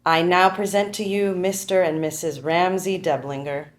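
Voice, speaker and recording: An actress reading a woman officiant at an improvised wedding, speaking in a formal, measured way. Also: fairly low pitch, steady tone, lightly acted. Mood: solemn. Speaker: actor in a table read. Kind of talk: solemn ceremonial reading.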